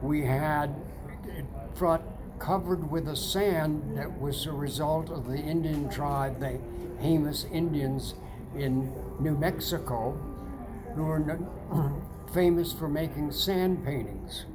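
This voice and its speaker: A man in his ninetieth year, talking casually from inside a sauna, halting with many fillers and restarts. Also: fairly high pitch, background noise. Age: older. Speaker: sauna customer. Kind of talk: casual testimonial chat. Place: indoors, seated in a sauna.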